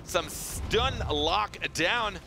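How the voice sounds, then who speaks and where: A man casting an esports match, excitable and jokey, quick to laugh at himself. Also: gravelly, very noisy. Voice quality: deep voice. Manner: excitable, jokey, self-mocking. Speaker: esports caster. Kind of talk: play-by-play esports commentary.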